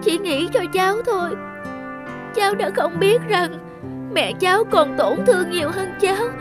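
A woman's voice: very high-pitched, lively intonation, normal speed, music in the background. A woman voicing an emotional, pleading young girl in a cartoon drama. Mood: worried, remorseful. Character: distressed, bitter young girl who lost her leg.

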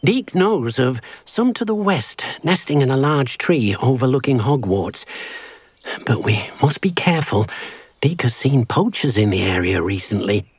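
An actor playing a gentle, earnest, small elderly house-elf servant in a video game, who speaks of himself in the third person. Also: male voice, mid-range voice, sing-song, a little slow, husky, no echo, full character voice. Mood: helpful. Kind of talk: scripted video game character acting.

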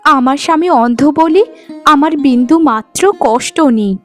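A woman plays a devoted young bride in a cartoon folk tale. The voice is extremely high, earnest and plaintive, with soft music behind it. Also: moderate pace. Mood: puzzled, hurt. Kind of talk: cartoon storytelling dialogue.

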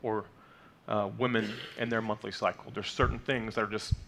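A man's voice: lowish voice, level tone, normal speed, gravelly, a bit bright, a little hiss or hum. A man in his thirties teaching a weekly Torah portion to a congregation from a lectern, explaining terms patiently with frequent fillers and "okay?" check-ins. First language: not Hebrew. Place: sanctuary, mid-size indoor hall.

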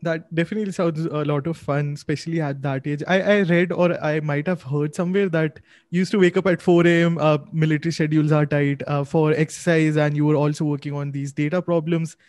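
Higher-voiced young man hosting, very dry sound. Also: fairly even intonation, fairly quick, a little mellow, no echo. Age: young adult. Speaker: podcast host, interviewer. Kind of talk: podcast introduction and interview questions. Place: small home office, indoors. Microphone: close desk microphone.

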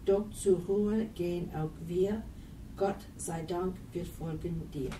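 A woman reciting memorial verse in a solemn, deliberate way. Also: fairly low pitch, fairly even intonation. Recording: roomy, distant mic, faint noise.

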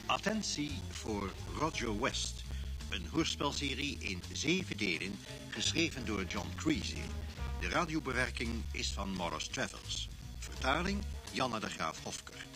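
A male announcer reads formal serial credits slowly over faint music. His voice is bright and a little husky, with animated intonation, on an old radio recording. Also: medium pitch, poor audio.

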